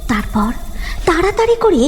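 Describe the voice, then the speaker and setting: A woman's voice: very high voice, sing-song, normal speed, soft background music. A woman narrating a cartoon horror story in a dramatic, scripted storytelling style.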